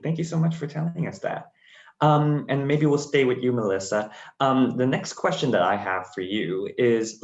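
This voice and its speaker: Man moderating, muffled echoey sound. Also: mid-range voice. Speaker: host and moderator of a student webinar. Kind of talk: interviewing student panellists. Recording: muffled, echoey room audio.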